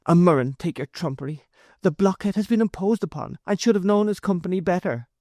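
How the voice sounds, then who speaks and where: A man reading aloud, voicing a shrill, quick-tempered middle-aged mother with raised, exclaiming lines. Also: expressive, dry recording, clean studio sound, natural voice. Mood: scolding.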